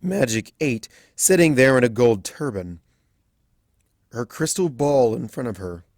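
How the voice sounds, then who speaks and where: A man's voice: medium pitch, lively intonation, measured pace, a little mellow, no room sound, studio quality. A man giving a scripted narration of a story as a fan fiction reader.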